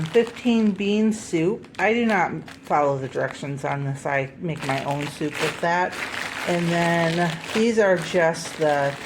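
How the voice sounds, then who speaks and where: A woman's voice: on the low side, background noise. A woman vlogger with a stuffed-up head cold, chatting casually at home through a grocery haul and often correcting herself with ums. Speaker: homesteading vlogger.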